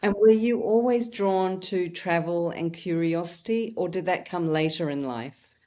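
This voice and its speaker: Podcast host, woman in her fifties, low voice, warm dark tone, steady scripted intro. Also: unhurried. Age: 50s. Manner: warm welcoming scripted intro, then conversational questions. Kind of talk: podcast interview.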